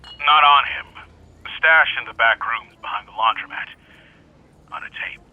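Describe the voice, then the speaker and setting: An actor playing a male police detective who talks on the phone in a flat, guarded, matter-of-fact way. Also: mid-range voice, a little slow, phone-quality sound, natural voice. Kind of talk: audio drama acting.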